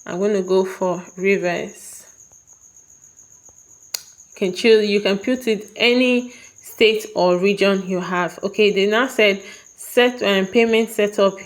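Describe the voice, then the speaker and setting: A woman from Nigeria with a Nigerian accent walks viewers through an online sign-up, one step at a time. She speaks unhurriedly at a mid pitch, pausing often to check they are following. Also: fairly even intonation. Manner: patient, repeats "okay?" to check in with viewers. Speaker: tutorial vlogger. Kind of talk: step-by-step screen walkthrough.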